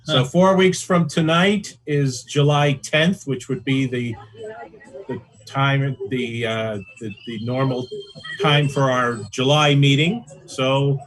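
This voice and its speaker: A man chairing a club meeting on a video call, talking casually and haltingly, repeating words. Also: mid-range voice, fairly even intonation, fairly bright tone, low-quality recording.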